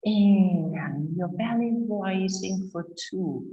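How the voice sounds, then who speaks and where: A woman leading guided breathing and gentle yoga as an instructor, in short, measured, soothing phrases with long pauses. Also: mid-range voice, slight background noise. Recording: roomy echo, slightly muffled sound.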